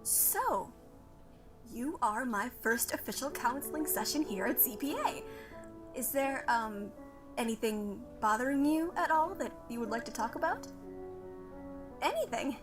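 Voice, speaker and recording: Woman playing a gentle, higher-voiced young counselor. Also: expressive, a little slow, music in the background. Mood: earnest, hesitant. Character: gentle, nervous young female school counselor, polite and earnest. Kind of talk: animated comic dub voice acting.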